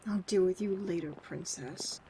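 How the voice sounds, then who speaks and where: A woman's voice: fairly low pitch, moderate pace, background noise, natural voice. A woman playing a belligerent, foul-mouthed grump in an audio drama, aggressive and mocking. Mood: irritated. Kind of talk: amateur audio drama acting.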